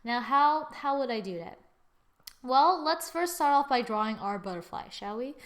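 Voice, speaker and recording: A woman hosting a casual drawing software tutorial, thinking aloud informally and often murmuring as she works. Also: high-pitched, animated, moderate pace. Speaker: tutorial host. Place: screen-recording voice-over.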